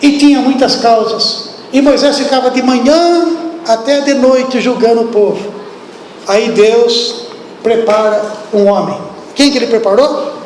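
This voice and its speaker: High-voiced Brazilian preacher in an echoey hall. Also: male voice, measured pace, slight background noise. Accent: Brazilian Portuguese. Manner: animated, acts out biblical speech, asks the congregation questions. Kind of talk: sermon. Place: church hall. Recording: echoey hall, distant room.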